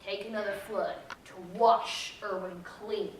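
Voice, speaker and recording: A woman's voice: mid-range voice, fairly even intonation, unhurried, distant mic, lightly acted. A woman playing a weary, bitter small-town mother in clipped, dour phrases on stage. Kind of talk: readers theater monologue. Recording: echoey hall from afar, faint noise.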